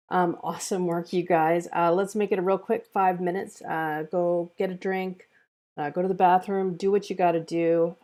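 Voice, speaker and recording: A woman's voice: on the low side, darkish tone. A woman hosting a table read, speaking casually to the cast and stream viewers.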